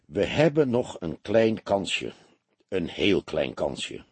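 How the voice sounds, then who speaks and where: A man's voice: low voice, big pitch swings, slow pace, slightly rough, no room sound, voice pushed down, full character voice. A man voicing a weary police detective who talks in a deferential, understated and hesitant way, as in an audiobook. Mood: reluctant, downcast. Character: world-weary police detective, feigning meek, sheepish humility. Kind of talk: audiobook character voice.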